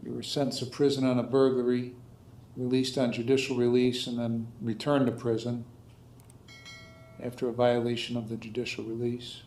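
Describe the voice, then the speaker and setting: A man with an American accent speaking as a judge, questioning and admonishing in a halting, stern way. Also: medium pitch, monotone, a little mellow, slight background noise. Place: indoor courtroom. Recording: echoey room sound.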